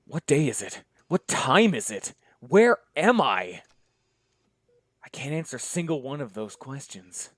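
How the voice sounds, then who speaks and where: A young man playing a dazed, sardonic male medic, talking in a wry inner monologue that slips into hushed whispers. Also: medium pitch, big pitch swings, measured pace, no echo, studio quality, pitched above the performer's own voice. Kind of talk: one-man voice acting.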